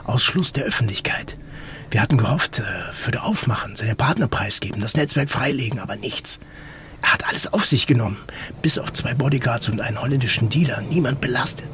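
An actor playing a gruff, guarded detective and father in a German audio drama, with a gravelly voice and an urgent manner. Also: medium pitch, expressive, a little fast, background noise, natural voice. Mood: grave, explaining.

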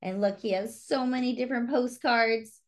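A woman reading a children's story aloud expressively, with deliberate phrasing and simple explanatory asides to young listeners. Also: a little high, fairly even intonation. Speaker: storybook reader and host.

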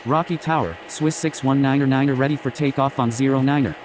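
Male airline pilot played by a man, mid-pitched, formulaic radio phraseology, engine noise. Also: fairly even intonation, brisk, close to an everyday voice. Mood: matter-of-fact. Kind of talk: scripted air traffic radio calls.

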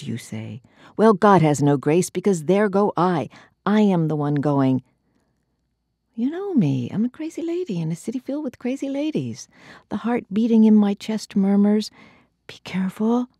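Husky, low-voiced woman reciting poetry. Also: no echo, studio quality. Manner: theatrical, deliberate phrasing with hushed, breathy drops. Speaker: poetry reader. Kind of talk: dramatic spoken-word poetry recital.

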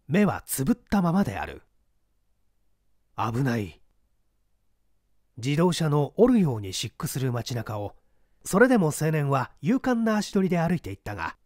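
Expressive male audiobook narrator. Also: mid-range voice, no echo, clean studio sound. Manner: expressive, theatrical reading.

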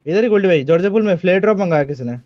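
A man's voice: high voice, animated, rapid-fire, no room sound, muffled recording. A man making casual callouts and chatting in a game squad, often repeating short phrases. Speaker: gaming squad member. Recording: clear game noise behind voice.

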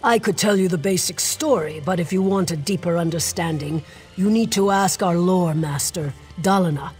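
An actor playing an earnest guild mage who gives measured briefings in a fantasy video game. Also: medium pitch, breathy edge, soft background music. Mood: measured, instructive. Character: earnest Mages Guild scholar briefing an adventurer.

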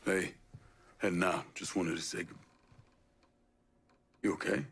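An actor playing a stoic, principled young American war veteran in a dramatic video game. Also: male voice, deep voice, steady tone, a little slow, fairly bright tone, a little hiss or hum. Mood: tender, sincere. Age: young adult.

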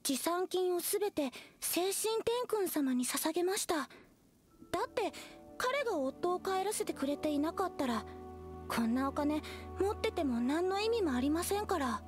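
Very high-voiced lovelorn believer. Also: female voice, very animated, unhurried, soft background music, heavily acted. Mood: fervent. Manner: sweet, earnest, polite. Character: devoted lovelorn young woman, naive fervent believer. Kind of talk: Japanese anime game acting.

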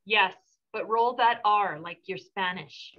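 A woman's voice: medium pitch, slow pace. A woman in her thirties, a teacher, talking and reading on a video call from a school room. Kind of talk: casual talk and poem reading on a video call. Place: classroom or school office with bookshelves. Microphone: laptop mic on a video call. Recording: slightly dull video-call audio.

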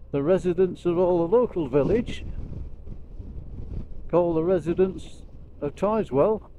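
A man with a Lancashire accent giving casual, unhurried storytelling commentary as he rides a motorbike, speaking into a helmet mic. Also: high-pitched, mellow, poor audio. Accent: Lancashire English. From Lancashire, England. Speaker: motorcycle vlogger. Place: outdoors on a moving motorbike. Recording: vehicle noise while riding.

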